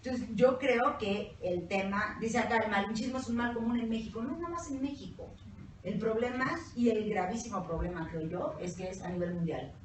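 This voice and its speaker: A woman's voice: medium pitch, fairly quick, a little hiss or hum. Blunt Mexican woman in an echoey room. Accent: Mexican Spanish. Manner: blunt, profane, animated. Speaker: co-host. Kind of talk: casual panel chat. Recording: echoey room, mic at a distance.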